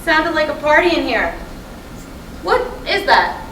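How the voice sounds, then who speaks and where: Woman playing sardonic wife, higher-pitched, blunt, easily upset, stage play reading, noisy room. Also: very animated, measured pace, fairly bright tone, full character voice. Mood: breezy, wry. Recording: distant mic in echoey, noisy room.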